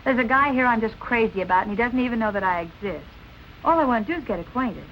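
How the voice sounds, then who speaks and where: A woman's voice: fairly high pitch, expressive, quick pace, dull audio, a little hiss or hum, natural voice. An actress playing a determined, plucky young woman sleuth in a 1940s film noir style. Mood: coaxing. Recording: old film soundtrack with music behind.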